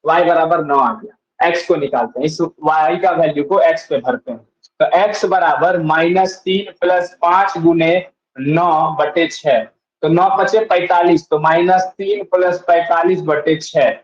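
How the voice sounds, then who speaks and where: A man's voice: fairly high pitch, fairly even intonation, a little slow, reverberant, highs cut off. A male maths teacher explaining a lesson step by step, asking questions and answering them himself and repeating key points. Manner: asks and answers his own questions, repeats steps.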